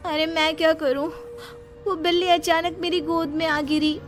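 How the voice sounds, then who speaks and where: A woman's voice: extremely high pitch, level tone, normal speed, faint music behind. A woman playing a frightened, anxious young office worker in a cartoon horror story, nervous and pleading. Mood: fearful. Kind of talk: animated Hindi horror story dubbing.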